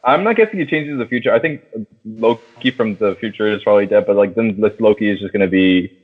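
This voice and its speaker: Low-voiced man on a thin, phone-like line. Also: fast, husky. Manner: enthusiastic, heavy casual swearing. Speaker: podcast co-host. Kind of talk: casual movie chat with friends. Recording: thin phone-like, radio-like sound.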